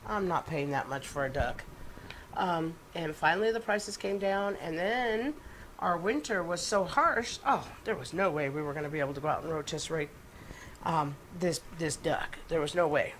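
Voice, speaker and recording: A middle-aged woman with a stuffed-up, nasal voice, chatting excitedly through a cooking demonstration in her home kitchen. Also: low-pitched, normal speed, a little hiss or hum. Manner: chatty and excitable, with many ums and asides.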